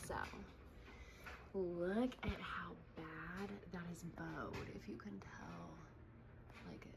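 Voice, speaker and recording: A woman in her thirties giving a casual handheld video tour at home, hushed and often whispering, exasperated and sweary. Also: fairly low pitch. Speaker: vlogger. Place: indoors at home, in a kitchen. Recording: noisy handheld clip.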